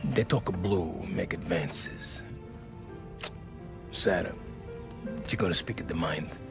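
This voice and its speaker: An actor playing a middle-aged, gruff but kindly Italian father in a game, speaking halting English with an Italian accent. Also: sits low, heavily acted. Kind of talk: dramatic video game acting.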